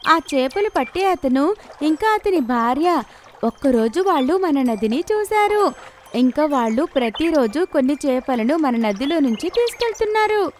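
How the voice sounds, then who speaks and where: A woman's voice: sits high, big pitch swings, moderate pace, darkish tone, slight background noise, voice pushed up. A woman voicing frightened little fish who urgently wake a big fish. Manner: urgent, pleading.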